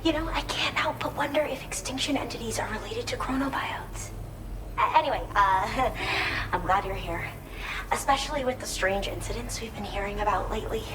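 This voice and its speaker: A woman's voice: fairly high pitch, moderate pace, noisy background, lightly acted. A woman playing a friendly, eager researcher character in a video game, chatty and enthusiastic as she explains things. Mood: musing, then friendly, concerned. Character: eager, friendly female researcher living in a shelter. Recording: music behind the voice.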